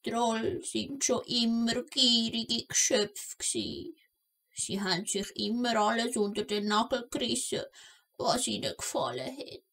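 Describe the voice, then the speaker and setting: A woman playing an old kobold grandmother with a Swiss German accent in a children's audio play, telling tales deliberately, grumbly but indulgent. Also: a little high, steady tone, gravelly, a bit bright, heavily acted, rough recording. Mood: storytelling. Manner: slow, deliberate storytelling with scolding asides.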